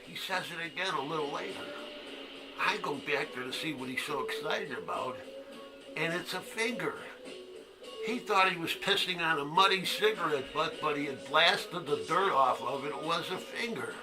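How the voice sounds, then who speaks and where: A man's voice: fairly high pitch, normal speed, close to an everyday voice, poor audio. An actor playing a bitter, foul-mouthed man in a conversational dramatic monologue, like someone telling a barroom tale, with music behind him. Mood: reminiscing. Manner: profane, conversational storytelling. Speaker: actor in a one-act play.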